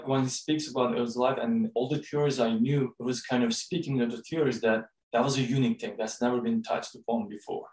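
A man with a Turkish accent speaks English haltingly, pausing to search for words. He sits back from the mic in a roomy space, and his pitch is middling for a man. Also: recorded from a distance. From Turkey. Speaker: guest, co-author and professor. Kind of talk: podcast interview.

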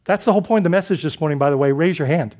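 A man's voice: on the high side, rapid-fire, a little mellow. A man from the Bahamas preaching a sermon as pastor, in a conversational style with many restarts and rhetorical questions.

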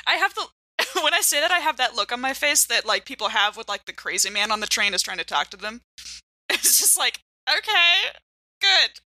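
A woman's voice: a little high, big pitch swings, moderate pace, pushed and bright, no room sound. A woman playing in an improvised tabletop role-playing show, conversational and thinking aloud. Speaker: player in a role-playing game. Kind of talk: casual improvised tabletop actual play.

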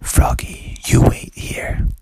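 A good-old-boy father frog from a bedtime tale, voiced by a storyteller. He is folksy, determined and adventurous, in a dry, close sound with some gravel. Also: sits low, takes it slowly, dry recording, heavily acted. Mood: urgent, hushed.

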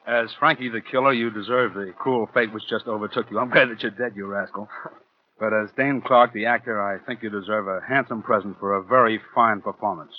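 A man's voice: lowish voice, steady tone, fairly quick. A man, a radio producer-director, trading scripted, mock-formal jocular banter on a 1940s broadcast. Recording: tinny, narrow-band 1940s radio recording.

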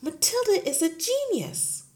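A gentle young teacher with an earnest, pleading way of speaking, as a woman reads her. Her voice lifts a little higher than the narration, polite and soft even when she pushes back. A kindly storybook schoolmistress. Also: unhurried.

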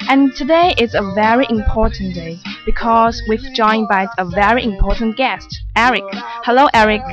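Young woman presenter, careful halting English. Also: fairly high pitch. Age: young adult. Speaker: student radio presenter. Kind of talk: radio interview hosting. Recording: muffled lo-fi radio recording with music behind.

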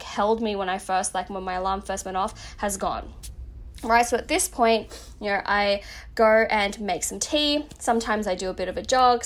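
Chatty woman, slightly higher voice. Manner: chatty, rambling, many filler "like"s. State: slightly losing her voice. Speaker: podcast host. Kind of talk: casual self-help talk.

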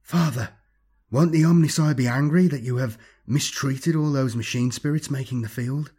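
A man's voice: medium pitch, moderate pace, a little mellow, slightly rough, pitched above the performer's own voice. A man voicing an anxious, earnest male acolyte, hesitant and deferential, in a sci-fi audiobook. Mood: timid worry.

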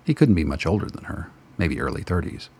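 Very deep, husky male narrator. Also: very animated, a little fast, a little mellow. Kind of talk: scripted dramatic narration.

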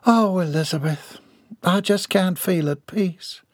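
A man's voice: high voice, measured pace, no room sound, clean studio sound, pitched above the performer's own voice. A man voicing a kindly older woman of the house who frets and gushes fondly. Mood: worried. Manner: fretful, fond, gushing.

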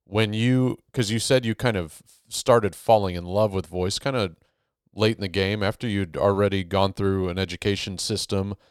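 Very deep-voiced easygoing male host. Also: steady tone, moderate pace, clear, resonant tone, dry recording, studio quality. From Texas. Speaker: podcast host. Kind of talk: casual interview conversation.

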